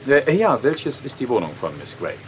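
A man acting in a German audio drama as a calm, dry, teasing detective who investigates the occult. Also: mid-range voice, moderate pace, slight background noise. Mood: inquiring.

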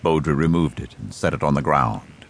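Very deep male western narrator. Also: slight background noise. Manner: measured storytelling. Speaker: audiobook narrator. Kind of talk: scripted dramatic narration of a western.

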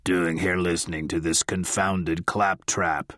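A man voicing a cantankerous, gravelly old male spirit who scoffs belligerently, in an audiobook. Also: deep voice, flat delivery, measured pace, fairly bright tone, no room sound, clean studio sound, pitched below the performer's own voice. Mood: scornful. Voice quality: gravelly, croaking. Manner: belligerent, scornful. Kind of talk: audiobook character voice.

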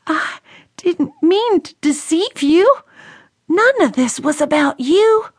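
Tearful, trembling young woman begging her father, as the narrator plays her; high and shaky. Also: lively intonation, slow, dry recording, clean studio sound, heavily acted. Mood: pleading. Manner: tremulous, pleading.

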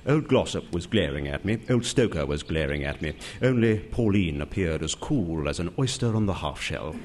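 A genteel, flippant young British gentleman, with a very animated voice that swoops up and down. He is droll and excitable in the manner of a radio comedy, with faint noise behind him. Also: low-pitched, normal speed. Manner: droll, excitable, upper-class affectation. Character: genteel, flippant young English gentleman, narrating his misadventures. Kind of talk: comic audio drama narration and dialogue.

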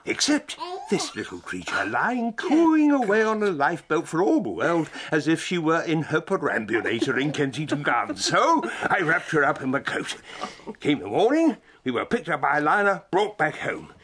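An actor playing an eccentric, blustering old English gentleman professor in a radio drama, with a grandiose, old-fashioned way of talking. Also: a little high, huge pitch swings, normal speed, a little hiss or hum. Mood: breezy, dramatic. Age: older. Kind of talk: full-cast radio dramatisation.

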